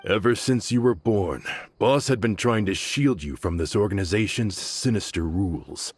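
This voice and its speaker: A husky, bitter young gang member. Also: male voice, lowish voice, normal speed. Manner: resentful, vengeful.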